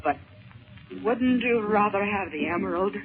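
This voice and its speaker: A woman's voice: medium pitch, animated, a little slow, natural voice. An actress playing a desperate captive mother in a 1930s radio serial, imploring in a breathless, melodramatic way. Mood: desperate pleading. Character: desperate, pleading mother held captive, a woman. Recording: tinny, band-limited 1930s radio recording.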